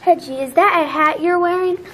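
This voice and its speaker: A woman's voice: very high voice, normal speed, bright, forceful tone, slight background noise, voice pushed up. A woman voicing an amused, teasing mother farm dog in a storybook, with a barking delivery.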